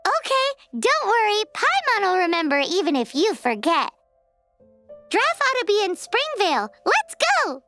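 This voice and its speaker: A woman voicing a tiny, childlike fairy companion in a video game, squeaky, cheeky and excitable. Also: measured pace, soft background music, exaggerated voice. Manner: talks about self in third person. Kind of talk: video game character acting.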